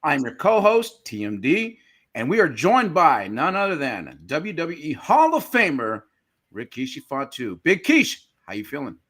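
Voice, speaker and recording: Bright, upbeat podcast co-host, a man. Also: a little high, wildly swooping pitch, measured pace. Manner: enthusiastic, hype-style intros, stumbles on words. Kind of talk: casual podcast hosting.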